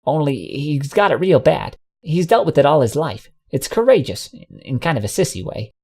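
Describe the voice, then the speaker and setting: A man's voice: a little high, big pitch swings, normal speed, gravelly, darkish tone, breathy edge, heavily acted. A man putting on a brash folksy pony mare. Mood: blunt teasing. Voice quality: folksy, blunt, teasing drawl.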